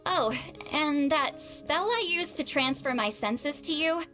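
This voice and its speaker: Proud young shaman woman, high bright voice, animated, haughty, easily flustered, game dialogue. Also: slow pace, soft background music, heavily acted. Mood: awkward, worried. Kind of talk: video game character dialogue.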